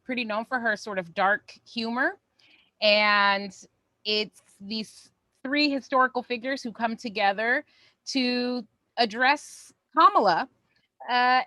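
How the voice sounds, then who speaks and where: A woman with a fairly high voice, recorded close and dry, co-hosts an online theatre show. She gives informal, upbeat announcements to viewers in a conversational way. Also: pushed and bright, no room sound.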